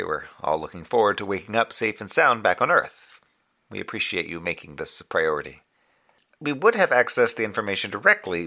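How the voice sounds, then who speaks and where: A moonbase communications officer in a sci-fi audio drama. The voice is very deep yet bright and sharply projected, with measured, officious phrasing and a dry, wry streak. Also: male voice. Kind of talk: scripted audio drama broadcast report.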